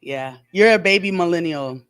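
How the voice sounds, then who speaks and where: A woman's voice: fairly low pitch, moderate pace, clean tone, no room sound, clean studio sound. A woman in her forties co-hosting a casual podcast chat, who laughs often and reads out viewer comments. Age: 40s. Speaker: podcast co-host.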